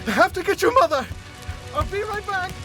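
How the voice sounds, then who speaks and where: A man's voice: very high-pitched, animated, brisk, over music. A man playing a desperate, loving father in an audio drama, stammering and pleading as he tries to save his children. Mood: urgent, reassuring.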